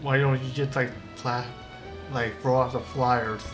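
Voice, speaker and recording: A woman giving casual, offhand commentary while streaming a video game, speaking haltingly. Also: rumbling low, steady tone. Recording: game music behind the voice.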